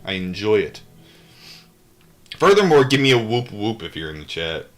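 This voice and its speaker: A man's voice: lowish voice, very animated, moderate pace, faint music behind. A man hosting a live read-aloud, moving between reading a book and casual, irreverent, rambling asides. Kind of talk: audiobook-style reading with casual off-the-cuff asides.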